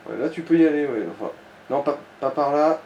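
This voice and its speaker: Mellow Frenchman coaching in an echoey room. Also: fairly high pitch, fairly quick, muffled recording. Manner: explanatory, coarse slang. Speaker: gaming co-commentator guiding the player. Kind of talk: casual let's play commentary. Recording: roomy echo, background noise.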